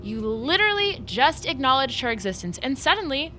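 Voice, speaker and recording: A woman's voice: high-pitched, sing-song, fairly quick, bright, forceful tone, a little hiss or hum, exaggerated voice. An actress playing a smug, scornful young woman, a former popular mean girl, in an audio drama with sarcastic, sneering delivery. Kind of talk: audio drama acting, contemporary dialogue.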